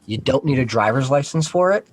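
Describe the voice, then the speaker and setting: A man's voice: medium pitch, expressive, a little fast, slightly rough, no echo. Frank, plain-spoken man in a dry-sounding recording. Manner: frank, profane. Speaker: guest on a talk show, Coast Guard member. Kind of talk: casual explanatory chat.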